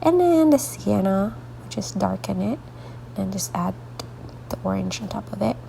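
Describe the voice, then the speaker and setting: A woman hosts a casual coloring tutorial, her congested voice heavy with gravelly fry and a dark, mellow tone. She rambles softly, drifting into half-whispered asides. She sounds sleepy, talking late into the night. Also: mid-range voice, animated, a little slow, slight background noise, poor audio. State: congested, stuffy nose. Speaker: artist hosting a coloring tutorial.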